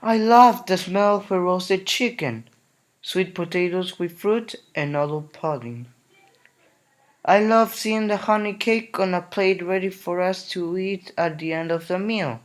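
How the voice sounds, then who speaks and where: Slow gentle male storybook reader. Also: high voice. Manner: gentle, unhurried read-aloud. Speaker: narrator. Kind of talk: storybook reading.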